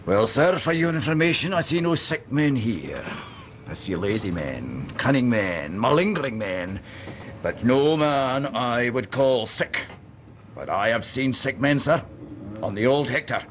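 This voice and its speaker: Blustering, scornful ship's doctor. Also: male voice, mid-range voice, big pitch swings, measured pace, a little hiss or hum. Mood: scornful, grim. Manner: blustering, declamatory, emphatic repetition. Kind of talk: period radio drama acting.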